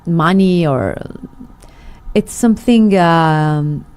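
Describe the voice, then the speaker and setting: Woman, lowish voice, halting speech. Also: clean tone. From Israel. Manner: hesitant, many fillers and restarts, animated storytelling. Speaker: guest, singer. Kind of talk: interview conversation.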